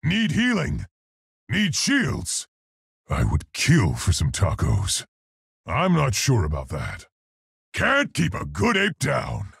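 A large, brave gorilla scientist in a video game. The voice is very husky and gruff, with a gravelly edge. He speaks in earnest, articulate, unhurried phrases, polite yet heroic. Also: medium pitch, huge pitch swings, fairly bright tone, dry recording, studio quality, full character voice. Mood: calm, awkward. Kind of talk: video game character voice lines.

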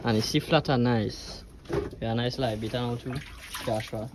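A man's voice: medium pitch, steady tone, unhurried. A Trinidadian man hosting a fishing vlog from an open boat at sea, chatting, laughing and cheering on the catch. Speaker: fishing vlogger host. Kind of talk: casual banter while filming. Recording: loud wind and boat noise outdoors.

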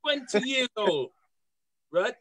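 A man's voice: very high voice, sing-song, slow, a bit bright. A man on a talk show panel, playful and teasing, often repeating himself. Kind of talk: casual panel chat.

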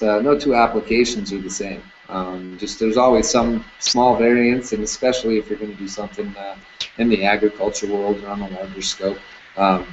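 A man in his thirties explains his business in a relaxed interview, with plenty of ums and uhs. His voice sits low. The sound is dull and lo-fi, like a webcam call, with a faint hiss behind it. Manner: relaxed, many fillers, salesman-like. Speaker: security camera business owner. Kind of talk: casual video call interview. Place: indoor room with curtains. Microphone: webcam on video call. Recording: dull lo-fi video call audio, faint noise.

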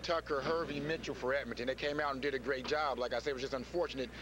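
A man's voice: medium pitch, fairly even intonation, fast talker. A man, a former player turned analyst, breaking down the game in plain, upbeat talk on a handheld mic. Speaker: football analyst. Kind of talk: postgame analysis. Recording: broadcast audio with background noise.

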